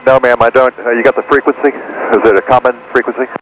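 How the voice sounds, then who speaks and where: A man speaking as a pilot over aviation radio, in brief, halting calls to air traffic control. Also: medium pitch, little pitch movement. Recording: noisy aircraft radio transmission. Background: engine noise and radio static.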